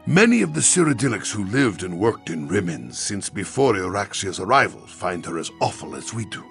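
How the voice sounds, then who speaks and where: A man playing a disapproving townsman in a video game, with a gravelly voice. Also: lowish voice, very animated, moderate pace, a bit bright, faint music behind, heavily acted. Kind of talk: video game character acting.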